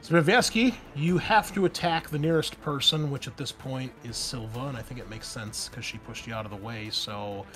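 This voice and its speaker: A man's voice: mid-range voice, normal speed, few pauses, fairly bright tone, faint music behind, poor audio. A man narrating a tabletop roleplaying game as game master, conversational and improvising rulings as he goes.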